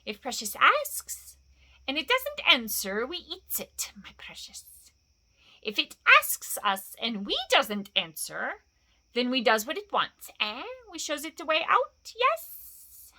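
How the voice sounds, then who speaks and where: A woman's voice: sits high, huge pitch swings, takes it slowly, pushed and bright, pitched above the performer's own voice, full character voice. A woman voicing a wretched, sneaky old cave creature who hisses and whispers in broken grammar. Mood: scheming. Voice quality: hissing, whispery. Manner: broken grammar, repeats "my precious".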